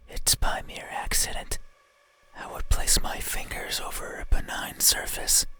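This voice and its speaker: An actor plays an eerie horror game monster who whispers in a breathy, voiceless hush. The phrasing is very slow, deliberate and intimate, curious and pleading. Loud music plays behind the voice. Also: natural voice. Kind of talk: ASMR horror game monologue.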